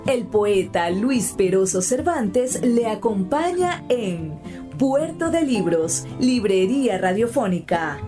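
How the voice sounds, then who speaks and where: A woman's voice: mid-range voice, animated, slow, music in the background. A woman announcing produced station identifications in a radio voice-over style.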